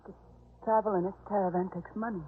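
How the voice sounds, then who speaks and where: A woman's voice: medium pitch, steady tone, fast talker, phone-quality sound, slight background noise, close to an everyday voice. An actress playing a weary, humble travelling woman in a 1950s radio drama, pleading and guarded. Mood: timid, weary. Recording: hollow, reverberant, band-limited 1950s radio.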